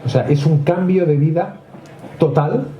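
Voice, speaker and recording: A man's voice: a little high, measured pace, dark tone. A man from asking questions conversationally as an interviewer at a live event. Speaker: interviewer, presenter. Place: club social hall, indoors. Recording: muffled, echoey room, faint noise.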